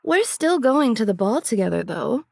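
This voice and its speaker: A woman playing a shameless, seductive vampire socialite, sly and amused, in a dark comedy audio drama. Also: a little high, big pitch swings, normal speed, vocal fry, dry recording, clean studio sound, full character voice.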